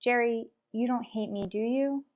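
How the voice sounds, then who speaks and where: A woman's voice: fairly high pitch, moderate pace, lo-fi sound, natural voice. An actress playing a doomed young woman stowaway in an audio drama, tender, wistful and resigned. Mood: tearful, tender.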